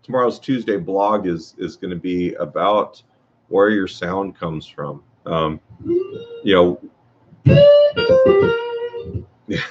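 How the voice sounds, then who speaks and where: A man teaching guitar in a casual workshop talk, with a low voice and a rambling way of speaking, full of fillers and restarts. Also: huge pitch swings, drawn-out words, pauses often. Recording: dull lo-fi sound.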